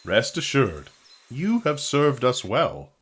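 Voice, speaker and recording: An actor playing a genteel, worldly-wise officer and loyal friend in an audio drama, measured and philosophical. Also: male voice, mid-range voice, big pitch swings, fairly bright tone, husky, full character voice. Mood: gently admonishing.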